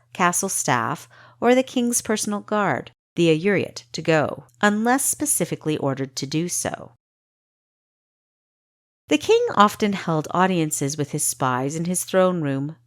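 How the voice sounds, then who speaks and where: A woman's voice: lowish voice, dry recording, studio quality. A woman reading scripted fantasy fiction as an audiobook narrator.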